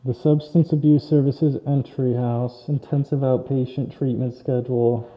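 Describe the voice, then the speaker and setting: A man's voice: medium pitch, flat delivery, moderate pace, highs cut off. A man hosting an exploration vlog, walking through a decaying abandoned building and remarking in awe at what he finds. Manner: awed, commenting on what he sees. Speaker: urban explorer host.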